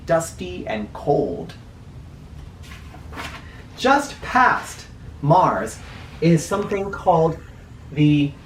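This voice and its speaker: A man reads a children's picture book aloud in a roomy, echoey space, a little way from the mic. He has a medium-pitched voice and explains as he goes, slipping into informal asides. Faint noise sits under the recording. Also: distant mic. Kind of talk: read-aloud storytelling with teaching asides.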